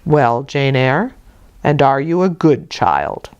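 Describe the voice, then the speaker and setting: A woman reading a storybook and voicing a stern, solemn gentleman in an imitation bass, speaking gravely and deliberately. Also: very animated, dull audio, heavily acted. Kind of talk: storybook character voice.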